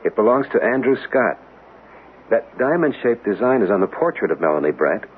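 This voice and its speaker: A man's voice: on the low side, sing-song, fairly quick. An actor playing an intent, inquisitive man in a radio drama, earnest, insistent and dramatic in delivery. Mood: intent, serious. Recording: tinny, noisy vintage radio broadcast.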